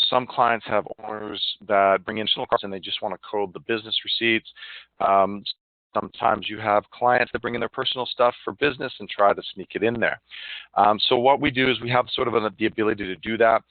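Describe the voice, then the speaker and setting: A man giving a live online software demonstration, explaining informally and haltingly over a conference call. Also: fairly low pitch, fairly even intonation, dry recording. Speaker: software demo presenter. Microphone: phone or web conference call. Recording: narrow phone-like line.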